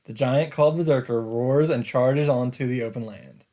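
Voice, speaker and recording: A man's voice: mid-range voice, moderate pace, dark tone. A man let's player reading visual novel narration dramatically, with casual commentary of his own. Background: game music.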